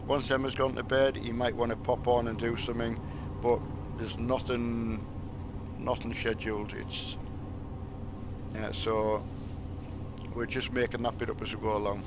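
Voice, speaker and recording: A man chatting casually in a rambling, halting way as he livestreams a driving game. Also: flat delivery, lo-fi sound. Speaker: game streamer. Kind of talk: casual livestream chat while playing a truck game. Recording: loud vehicle engine rumble behind. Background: truck game engine and road noise.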